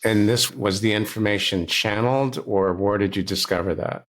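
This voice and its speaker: A man's voice: on the low side, moderate pace. A man hosting an interview podcast, asking curious, conversational questions with a light touch of humor. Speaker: podcast host.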